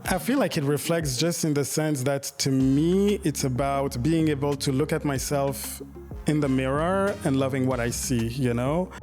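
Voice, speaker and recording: A man in his thirties speaks as an interview guest, thoughtful and unhurried. He circles back and restarts his sentences while searching for the right words. His voice sits at an ordinary middle pitch. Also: music in the background. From Rwanda, raised in Belgium. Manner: reflective, with many fillers and restarts. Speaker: guest, makeup artist and photographer. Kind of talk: casual interview conversation.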